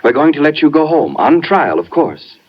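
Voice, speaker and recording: An actor playing a smooth, patronizing doctor with quiet menace in a 1950s radio drama. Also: male voice, a little high, big pitch swings, moderate pace. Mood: firm, controlling. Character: smooth, controlling male phony doctor from a rest home. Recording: muffled 1950s radio recording.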